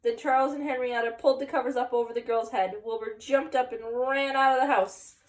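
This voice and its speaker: A woman's voice: on the high side, steady tone, fairly quick, far from the mic. A woman reading a children's picture book aloud expressively, with chatty asides to young listeners. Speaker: story time reader, teacher.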